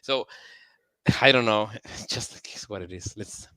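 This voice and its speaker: A man's voice: on the low side, measured pace, sharp tone, dry recording, poor audio. A man speaking as a podcast guest in casual chat, self-deprecating and laughing often.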